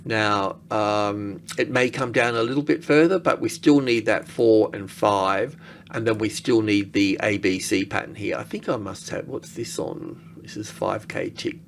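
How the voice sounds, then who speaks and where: A man giving casual, unscripted screen-share commentary as an analyst, halting and thinking aloud as he goes. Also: fairly low pitch, vocal fry, slight background noise. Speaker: market analyst presenting.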